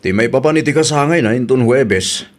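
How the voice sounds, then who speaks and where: Man acting easygoing middle-aged dad, ilocano accent, conciliatory, mid-pitched voice, radio drama. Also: animated, quick pace, natural voice. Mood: hopeful. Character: easygoing, placating older father who chuckles away insults.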